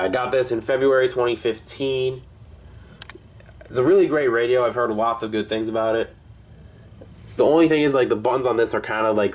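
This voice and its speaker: Low-voiced man, casual hobbyist tour. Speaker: hobbyist presenting a radio collection. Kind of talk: casual collection tour commentary.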